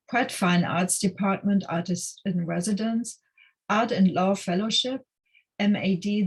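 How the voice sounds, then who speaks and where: A woman's voice: medium pitch, a little mellow, rough recording. A woman moderating a conference panel, reading out a long speaker introduction with some stumbles.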